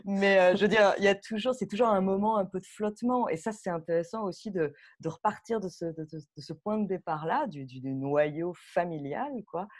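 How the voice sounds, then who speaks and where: Woman, medium pitch, casual panel talk, animated, digressive, spontaneous. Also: fairly quick, few pauses. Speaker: audio fiction director, panel guest.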